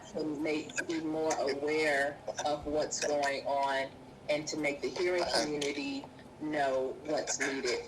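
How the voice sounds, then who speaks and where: A woman with a low voice voices a signer's words live. Her phrasing is measured and halting, catching up as she interprets. The recording carries steady background noise. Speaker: sign language interpreter voicing a deaf guest. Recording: noisy field recording.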